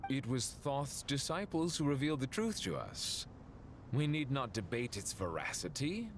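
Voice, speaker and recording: An actor playing a sly, haughty royal saint in a video game, speaking in a grandiose, formal way. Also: male voice, medium pitch, sing-song, normal speed, slight background noise. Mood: smug. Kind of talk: theatrical video game acting. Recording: faint game sound behind voice.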